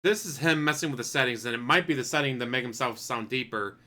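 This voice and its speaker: Sarcastic guy dunking on a streamer clip, bright edgy voice. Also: mid-range voice, fast. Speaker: commentator. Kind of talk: mocking commentary over a clip.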